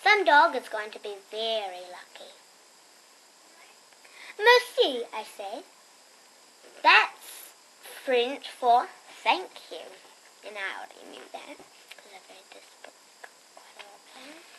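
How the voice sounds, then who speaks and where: A young girl reading a picture book aloud expressively, with chatty, quieter asides to her listeners. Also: on the high side, very animated, slow pace, pauses often, a little hiss or hum, rough recording. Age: child. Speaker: storybook reader and host.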